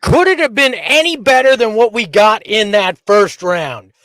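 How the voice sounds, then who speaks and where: A man's voice: high voice, expressive, normal speed, a bit bright, dry recording. A man co-hosting a sports podcast, giving emphatic fan commentary and wisecracking banter. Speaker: podcast co-host.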